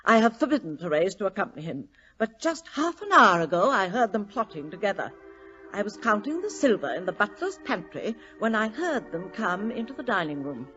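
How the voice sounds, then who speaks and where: A woman's voice: medium pitch, big pitch swings, brisk, a bit bright, slightly rough, faint music behind. An actress playing a vain, imperious, portly middle-aged society lady, grand and melodramatic, in an old-time radio drama. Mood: emphatic, indignant. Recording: dull old radio broadcast recording.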